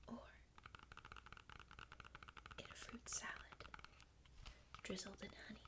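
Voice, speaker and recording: A woman voicing a bossy, know-it-all cartoon girl in a breathy, soft-spoken ASMR roleplay, close to the microphone. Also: mid-range voice, natural voice. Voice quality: breathy whisper.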